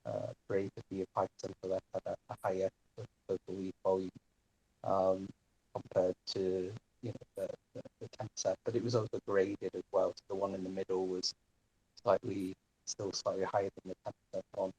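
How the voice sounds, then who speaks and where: Male participant presenting research informally, low husky voice, polite, halting delivery, dry sound. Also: level tone, mellow, dry recording, low-quality recording. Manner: polite, hesitant, hedging. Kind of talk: informal spoken report. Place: live online audio chat room.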